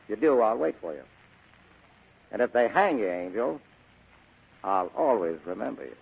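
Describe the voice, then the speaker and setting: An American actor playing a hard-boiled, cynical private detective in a 1940s radio drama, with a brusque, sardonic manner. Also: male voice, on the low side, expressive, normal speed, noisy background. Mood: grimly resolute. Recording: raspy narrow-band old radio recording.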